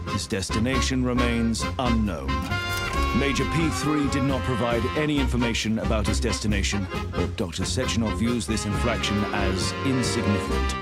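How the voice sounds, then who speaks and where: A male actor plays a formal official narrating a report for a video game. His mid-pitched voice is measured and precise. Loud brass music plays behind him. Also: natural voice. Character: formal, detached official reading a surveillance report.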